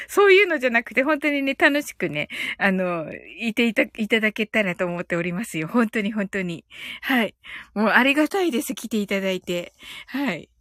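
A woman's voice: a little high, a bit bright, dry recording, studio quality. A woman hosting an online live stream, speaking in an informal, personal style as she addresses her listeners. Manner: chatty, reading out listener comments. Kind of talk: casual live stream chat and bilingual guided meditation.

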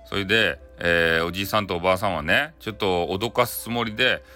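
Man, Hakata accent, low bright voice, chatty storytelling, colloquial bedtime folktale. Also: brisk, soft background music. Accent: Hakata, Japan. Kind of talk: casual improvised bedtime folktale narration.

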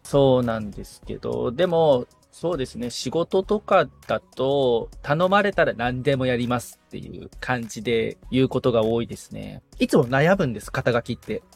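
A man's voice: medium pitch, animated, moderate pace, vocal fry, hardly any pauses. A man speaking as a podcast assistant, giving casual conversational answers. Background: background music.

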